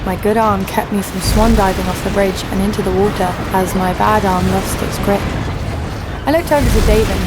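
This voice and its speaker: An actress as a sardonic female criminal narrating an action audio drama in the first person. She is cheeky and defiant, with a mid-pitched voice. Loud music runs behind her. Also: lightly acted. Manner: wry, sardonic. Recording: loud music bed under voice.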